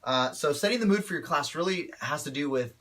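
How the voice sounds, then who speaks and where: A man speaks with a bright, slightly tense voice that a cold has left a little off. He coaches in a relaxed, upbeat way and keeps turning to his listeners with quick check-ins. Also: on the high side. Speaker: martial arts instructor. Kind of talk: casual instructional talk to camera.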